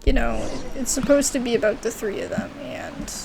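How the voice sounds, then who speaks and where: A woman's voice: fairly high pitch, sing-song, normal speed, noisy background. A woman giving casual, informal gameplay commentary as a streamer, reacting as she goes. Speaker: gaming streamer.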